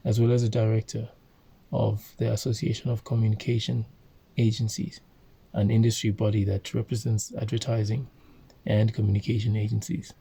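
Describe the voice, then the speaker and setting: Gravelly, dark-voiced man from Zambia. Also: lowish voice, flat delivery. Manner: halting, many fillers and self-corrections. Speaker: host reading a book aloud. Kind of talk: informal reading and commentary.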